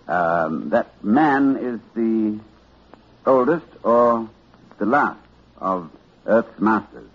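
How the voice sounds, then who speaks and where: A man's voice: lowish voice, breathy edge, heavily acted. A man acting a learned, hesitant university librarian recounting horror in a cultured, dramatic manner, in 1940s radio suspense style. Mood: guarded, uneasy. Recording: dull old radio recording with music.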